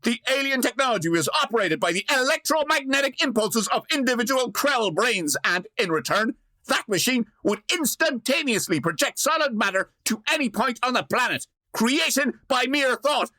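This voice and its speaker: A woman playing a brisk, take-charge explainer in a radio comedy, reciting dramatically. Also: on the high side, animated, bright, forceful tone, no room sound. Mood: dramatic, brisk.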